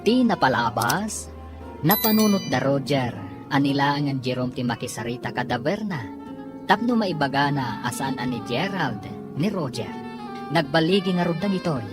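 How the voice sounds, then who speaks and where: A woman's voice: low voice, animated, normal speed, over music. A woman announcing and narrating a radio drama in a scripted style.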